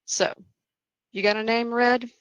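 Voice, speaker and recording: A woman's voice: fairly high pitch, fairly even intonation, measured pace, a bit bright, clean tone, no room sound. A woman acting a talkative, teasing young psychiatric patient in a screenplay table read. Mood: breezy, teasing. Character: chatty, flippant young female psychiatric patient.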